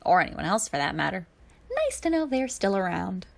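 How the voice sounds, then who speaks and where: A woman performs a chatty older alien man from an animated space adventure. He is chirpy and exclamatory, rambling along with cheerful, nostalgic enthusiasm. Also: fairly low pitch, brisk, vocal fry. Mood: amused, nostalgic.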